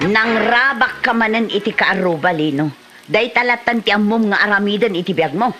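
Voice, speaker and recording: A woman's voice: mid-range voice, moderate pace, bright, forceful tone, faint music behind, close to an everyday voice. A woman playing a prankster's exasperated, scolding wife in a village comedy drama, with an Ilocano accent and a nagging delivery. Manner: nagging, reproachful.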